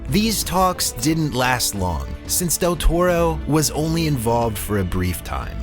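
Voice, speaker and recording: A man delivering scripted narration for a video documentary in a wry, tongue-in-cheek way. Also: medium pitch.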